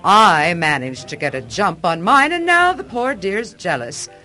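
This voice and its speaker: Sharp, combative businesswoman. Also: on the low side, very animated, measured pace, soft background music, heavily acted. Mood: indignant, scornful. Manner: indignant, condescending, emphatic. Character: combative, self-assured female software company boss. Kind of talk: radio drama acting.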